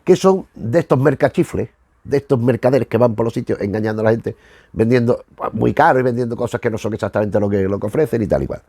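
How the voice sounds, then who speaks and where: A man from talks at a middle pitch in a very dry recording. He hosts in an informal, rambling way, wandering off and circling back as if working from jotted notes. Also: fast, mellow, slightly rough, no echo. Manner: digressive, colloquial, trails off with vague tags. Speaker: host of a retro TV review show.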